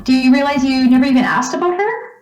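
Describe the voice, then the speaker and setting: A roomy echo surrounds the voice. It is a woman performing a middle-aged mother in an old-time radio play, slightly high-pitched, motherly and fussing. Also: animated, fast talker, dull audio, a little hiss or hum. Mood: gently probing. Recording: roomy, echoing recording.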